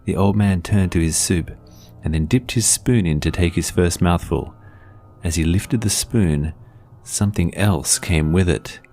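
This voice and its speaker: A man narrates a scripted horror story in a measured, deliberate, dramatic storytelling manner. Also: deep voice, warm tone, slight background noise.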